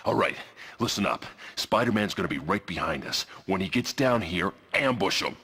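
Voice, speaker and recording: An actor playing a menacing, vengeful gang boss villain in a video game, with a threatening, commanding manner. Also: male voice, low voice, lively intonation, moderate pace, pushed and bright, soft background music, heavily acted. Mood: angry, commanding. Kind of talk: video game cutscene acting.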